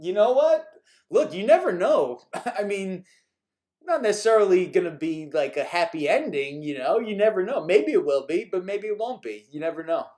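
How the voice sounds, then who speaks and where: Guy from new york rambling thru a live q&a, slightly high voice, laughs a lot, kinda fed up w repeat questions. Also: expressive, normal speed, a little mellow. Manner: rambling, chuckling, mildly exasperated at repeat questions. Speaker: animation creator answering viewer questions. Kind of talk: casual live-stream Q&A.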